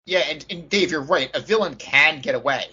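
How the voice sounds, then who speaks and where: Male co-host, forceful and raspy, mid-range pitch, casual panel talk on slightly lo-fi audio. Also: fairly quick. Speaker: podcast co-host. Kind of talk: casual panel discussion on tabletop role-playing. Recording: dull, band-limited audio.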